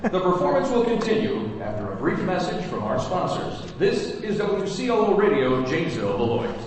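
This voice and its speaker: Man as animated formal radio announcer. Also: high voice, moderate pace. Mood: formal, presentational. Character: polished male radio station continuity announcer. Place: theater stage, live radio broadcast. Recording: very echoey hall from far off.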